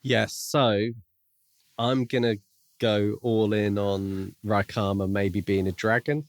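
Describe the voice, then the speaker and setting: A man with a fairly low voice co-hosts a book podcast in a dry, close-sounding recording. He talks casually and phrases things in a measured, hedging way. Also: fairly even intonation, dry recording. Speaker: podcast co-host.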